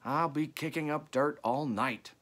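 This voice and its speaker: A man's voice: a little high, a little slow, a bit bright, pitched above the performer's own voice. A man voicing a tired old male dog who grumbles glumly in a storybook.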